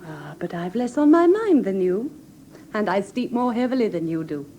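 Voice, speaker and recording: A woman's voice: mid-range voice, sing-song, moderate pace, dark tone, slight background noise, natural voice. An actress playing a refined, anxious young woman in a classic radio drama, with a Received Pronunciation accent and genteel, earnest, precise diction. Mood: composed. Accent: English Received Pronunciation. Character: refined, anxious young woman recounting her sister's death.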